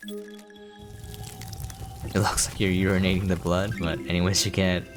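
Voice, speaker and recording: A man's voice: low-pitched, huge pitch swings, unhurried. A man hosting a game preview with casual, off-the-cuff gameplay commentary. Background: game music.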